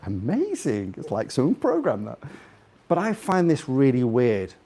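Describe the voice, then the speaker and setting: Animated man with a slight Scouse accent. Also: mid-range voice, a little slow, breathy edge. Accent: slightly Scouse (Liverpool). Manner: chatty, jokey, rambling, puts questions to listeners. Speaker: guest speaker. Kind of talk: informal live talk to an audience. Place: live in a room in front of an audience.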